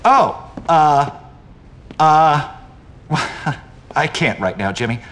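Casting: anguished middle-aged teacher, video game, swinging wildly in pitch, sharp and stammering. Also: male voice, lively intonation, extremely slow, full character voice. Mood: sheepish, self-pitying. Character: neurotic, self-loathing, broken-down male teacher.

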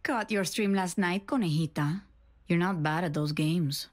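A woman's voice: fairly low pitch, moderate pace, close to an everyday voice. An actress playing a sly woman rogue with a wry, teasing manner.

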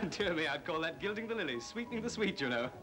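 Breezy, plummy, quick-talking captain. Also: male voice, on the high side, big pitch swings. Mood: flirtatious. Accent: upper-class English. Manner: jaunty, suave, plummy. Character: breezy, flirtatious, hard-drinking ex-army captain, charming talker. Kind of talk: 1940s British film acting. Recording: old film soundtrack, music behind.

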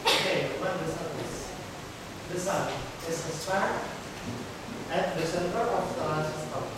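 A teenage male student with an Indonesian accent, giving a halting classroom presentation as he searches for words in English. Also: sits high. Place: school classroom, indoors. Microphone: distant camera. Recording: echoey noisy classroom from far off.

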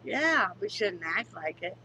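A woman's voice: medium pitch, big pitch swings, unhurried, a little hiss or hum, poor audio. A woman in her fifties, congested, candidly talking to her webcam at home and swearing freely. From Wyoming, United States. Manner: candid, swears freely, turns somber and quiet. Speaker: vlogger. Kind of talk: casual home vlog. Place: living room at home, on a couch. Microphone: webcam at close range.

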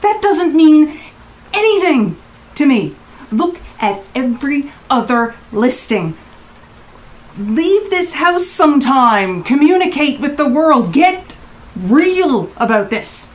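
An actress playing a blunt, exasperated rental guest in an audio drama, with emphatic, clipped phrases. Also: female voice, sits high, very animated, slow pace, heavily acted. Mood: scornful, blunt. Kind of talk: naturalistic audio drama acting.

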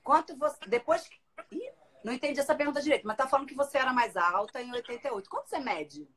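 A woman's voice: high-pitched, rapid-fire. A woman in her forties hosting a casual livestream from her living room, chatting and reading viewer comments into a phone held at arm's length. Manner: chatty, lively, reads out comments. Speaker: livestream host. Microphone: phone camera at arm's length.

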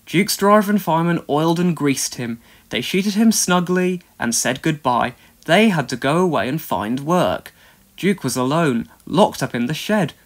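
Lively man reading a children's storybook. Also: a little high, normal speed. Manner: expressive read-aloud delivery. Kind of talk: scripted children's storybook narration.

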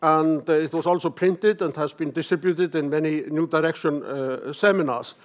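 An older man with an Icelandic accent giving a conference lecture, halting, often clearing his throat. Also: a little high, fairly even intonation. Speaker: professor giving a talk. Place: conference hall stage, at a lectern.

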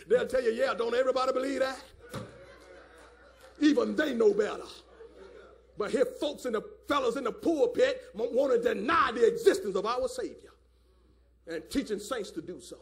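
A man a fiery call-and-response sermon, emphatic and repetitive, often rising to a shout, with a gravelly voice. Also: very high-pitched. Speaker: preacher.

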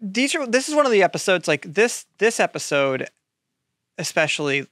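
A man co-hosting a podcast, chatting casually and earnestly, with halting, repeated phrases. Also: high-pitched, very animated, a bit bright, dry recording, studio quality. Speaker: podcast co-host and editor.

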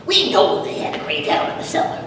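A woman's voice: fairly quick, lightly acted. Woman acting haunted old farm widow, echoey hall. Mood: bitter confession. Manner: theatrical, storytelling. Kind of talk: dramatic poetry reading. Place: large lecture room, at a podium. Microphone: distant camera microphone. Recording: echoey hall from far, noisy.

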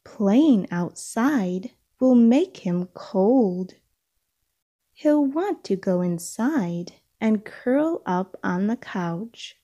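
A woman reading a children's storybook aloud, with deliberate, expressive phrasing for young listeners. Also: medium pitch, dull audio. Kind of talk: read-aloud storybook narration.

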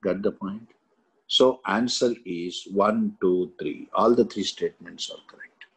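Husky middle-aged Indian man lecturing slowly. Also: sits low, poor audio. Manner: emphatic, choppy phrases with frequent check-in tags. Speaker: exam coaching history teacher. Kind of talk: lecture explaining exam questions.

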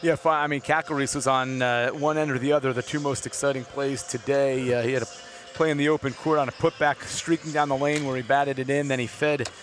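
A middle-aged man hosting a postgame interview on a headset mic in a studio set, asking brisk, well-prepared questions. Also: mid-range voice, fairly even intonation. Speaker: interview host. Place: studio set in a gym, indoors.

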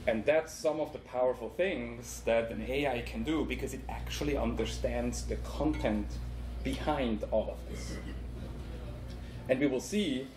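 A man with a Swiss accent walks an audience through a live demo at a conference, stopping often on "um" and explaining step by step. His voice sits at a middle pitch. The hall around him sounds echoey, with a faint hiss underneath. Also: a little slow. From Switzerland. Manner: relaxed, many ums, explaining step by step. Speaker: conference speaker. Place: conference room. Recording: echoey room with faint noise.

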